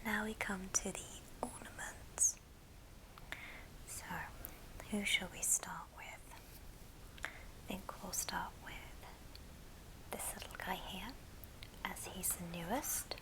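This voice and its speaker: A woman whispering softly and breathily in a gentle, affectionate ASMR show and tell. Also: medium pitch, steady tone, slow, long pauses, rough recording. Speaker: ASMR video host.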